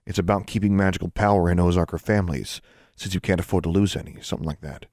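A man's voice: deep voice, steady tone, fast talker, darkish tone, no room sound, studio quality. Man reading as a frank teenage boy.

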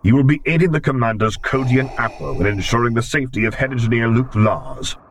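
A man voicing a menacing dark armored lord in an audiobook, with a low growling rumble and slow, clipped, imperious delivery. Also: lightly acted. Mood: commanding. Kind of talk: audiobook character voice.

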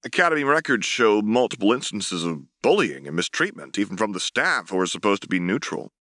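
A man's voice: fairly low pitch, very animated, brisk, fairly bright tone, no echo, studio quality. A man voicing a polite, inquisitive and professional female newspaper interviewer in a dramatic audiobook reading. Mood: polite, probing.

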